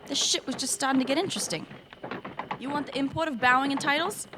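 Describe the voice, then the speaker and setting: A woman's voice: high voice, quick pace, background noise, close to an everyday voice. An actress playing a bossy, impatient young queen with brash modern slang in an audio drama. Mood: demanding. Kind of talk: fantasy comedy audio drama.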